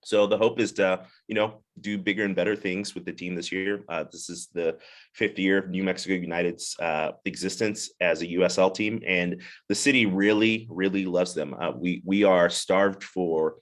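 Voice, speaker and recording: Deep-voiced easygoing man from Zimbabwe. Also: fairly even intonation, normal speed. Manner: easygoing, upbeat, with frequent fillers. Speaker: pastor and soccer chaplain. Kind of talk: podcast guest introduction.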